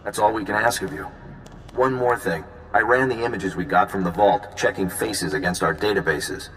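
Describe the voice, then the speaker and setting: An actor playing an easygoing, smooth-talking, flirtatious male intelligence agent in a video game, casual and breezy. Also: lowish voice, normal speed, a bit bright. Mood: businesslike. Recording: long reverb, faint background noise.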